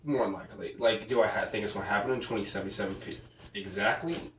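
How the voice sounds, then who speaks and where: A young man with a lowish voice chats in a laid-back, joking way, heavy on slang. He sits back from the mic, and the room around him is echoey. A faint hiss runs underneath. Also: fast talker, a bit bright. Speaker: podcast co-host. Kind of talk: casual chat. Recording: roomy echo, distant mic, faint hiss.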